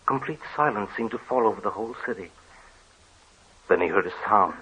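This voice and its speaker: An actor playing a grave man who recounts his family's legend in measured, solemn 1940s radio drama narration. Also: on the low side, sharp tone, breathy edge, full character voice. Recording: dull old radio recording with faint hiss.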